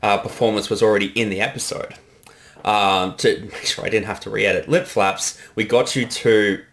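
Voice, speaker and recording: Male director, lowish voice, casual halting creator commentary. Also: steady tone, a bit bright. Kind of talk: casual behind-the-scenes commentary.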